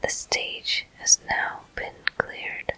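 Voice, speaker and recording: A game walkthrough host delivers ASMR commentary entirely in a whisper. The phrases come very slowly, with pauses between them. The breathy hush has a bright, crisp edge and sits close to the microphone. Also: faint music behind, low-quality recording. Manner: slow, unhurried phrases with pauses.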